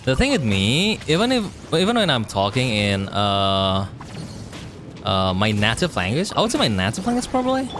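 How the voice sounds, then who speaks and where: A young streamer with a non-native English accent talks casually over his gameplay, rambling between chat replies. His pitch rises and falls a lot, with faint room noise under him. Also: huge pitch swings, normal speed, a bit bright. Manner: chatty, rambling, reads and answers chat. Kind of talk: casual chat while playing a game.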